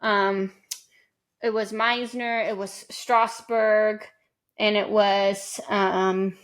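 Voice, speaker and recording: A woman's voice: medium pitch, flat delivery, very slow, fairly bright tone. A woman co-hosting a casual chat show, talking loosely with many ums and likes. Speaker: podcast co-host.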